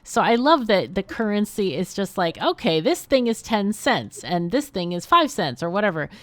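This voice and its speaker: A woman's voice: medium pitch, sing-song, normal speed, dry recording. A woman hosting a game livestream, chatting casually and thinking aloud as she plays. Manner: thinks aloud while playing. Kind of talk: casual gameplay chat.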